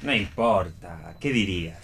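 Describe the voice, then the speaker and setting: A man's voice: low voice, expressive, unhurried, vocal fry, a bit bright, exaggerated voice. Contrary restless man, Argentine accent, dry, sardonic, provocative, radio play. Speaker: actor in a read radio play. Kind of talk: read radio theatre dialogue.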